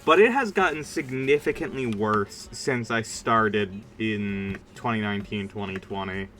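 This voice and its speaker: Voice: mid-range voice, a little slow, fairly bright tone, soft background music. A streamer chatting casually during a game livestream in a rambling, informal and emphatic way. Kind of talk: casual livestream chat while gaming.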